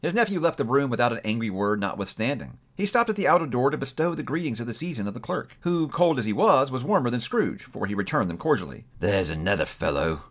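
A man reading a classic story aloud in a theatrical, measured storytelling manner. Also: medium pitch, big pitch swings, a bit bright. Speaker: book reviewer reading aloud. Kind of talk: expressive audiobook-style narration.

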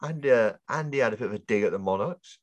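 Male co-host, chesty cough, easygoing chatter, mid-pitched voice, dry close mic. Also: lively intonation, dry recording. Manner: chatty, laid-back, quick to laugh. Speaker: podcast co-host. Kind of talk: casual chat.

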